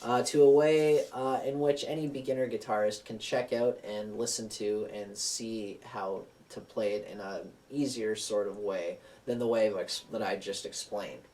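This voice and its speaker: A young man giving a relaxed guitar lesson, rambling with plenty of fillers and restarts as he talks through chords and keys. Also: lowish voice, moderate pace, few pauses, distant mic. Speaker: guitar teacher. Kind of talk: casual guitar lesson with singing. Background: acoustic guitar.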